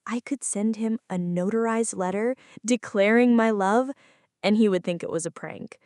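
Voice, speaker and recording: A woman playing a flirty, anxious female friend in an intimate ASMR roleplay, speaking softly and dramatically, close to the listener. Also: medium pitch, moderate pace, no echo, close to an everyday voice. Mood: teasing, flirty. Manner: soft, close, dramatic pleading and confiding.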